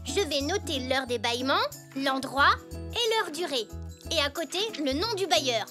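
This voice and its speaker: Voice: sits high, animated, normal speed, music in the background. A performer voicing an eager, curious young zebra boy who talks earnestly in a children's cartoon. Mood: busy, cheerful. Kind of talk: children's cartoon dub acting.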